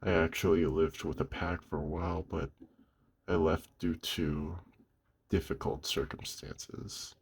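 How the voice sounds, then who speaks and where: A man playing a gruff, lonely old werewolf in an intimate ASMR roleplay, weary but gentle, speaking slowly and hushed with a husky, gravelly voice. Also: very deep voice, fairly even intonation, slight background noise. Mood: guarded, wistful. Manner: slow, hushed, with long pauses.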